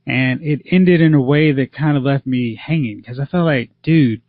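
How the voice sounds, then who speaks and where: A man's voice: mid-range voice, normal speed, no room sound. Male podcast co-host, chatty and opinionated, dry close mic, dull lo-fi sound. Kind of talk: casual TV review chat. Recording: slightly dull lo-fi audio.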